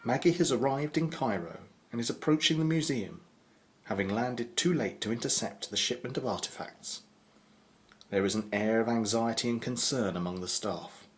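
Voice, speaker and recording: A man's voice: medium pitch, moderate pace, close to an everyday voice. A man narrating a supernatural audio drama in a scripted storytelling style. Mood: measured suspense.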